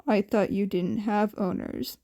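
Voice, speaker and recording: A woman voicing a blunt, protective grown man in a podfic story, with a dry, deadpan manner. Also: medium pitch, a little slow, voice pushed down, lightly acted. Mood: calm, reassuring. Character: gruff, dry but protective adult man, hero.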